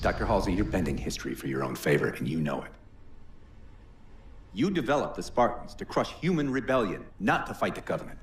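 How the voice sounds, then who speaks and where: A man's voice: sits low, expressive, fairly quick. An actor playing a stern, accusing male interrogator in a video game, probing and confrontational. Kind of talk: cinematic game acting. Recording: distant, echoey room with clear noise.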